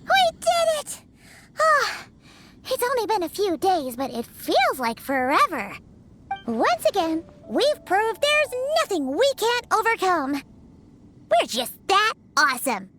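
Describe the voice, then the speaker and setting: An actress voicing a tiny, childlike female fairy companion in an anime-style game, cheerful and excitable with a squeaky, chirpy delivery. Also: slow pace, noisy background, heavily acted. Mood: excited, curious. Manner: squeaky, chirpy, speaks of self in third person.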